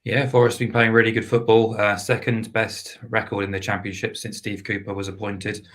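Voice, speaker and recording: Football pundit, low flat voice, slightly hoarse, measured and hesitant. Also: male voice. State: losing his voice. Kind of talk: casual podcast chat.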